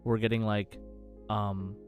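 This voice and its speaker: A man's voice: on the low side, little pitch movement, slow, soft background music. Casual man hosting a gaming podcast. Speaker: podcast co-host.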